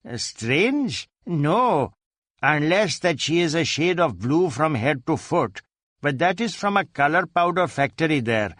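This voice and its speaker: Man voicing matter-of-fact witness, raised pitch. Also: very animated, measured pace, a bit bright, dry recording, studio quality. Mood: puzzled, matter-of-fact. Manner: hesitant start, plain factual explaining.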